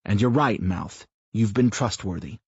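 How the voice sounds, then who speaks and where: A man's voice: lowish voice, lively intonation, unhurried, a little mellow, slightly rough, dry recording, muffled recording, heavily acted. A man playing a weary, earnest father. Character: troubled, earnest middle-aged father.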